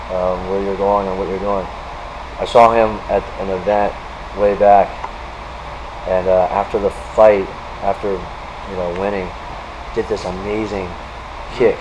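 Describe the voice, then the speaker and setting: A man's voice: fairly low pitch, noisy background. A young man hosting and interviewing a fighter in a large indoor gym, hyping him up with enthusiasm. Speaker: interviewer and show host. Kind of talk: casual interview.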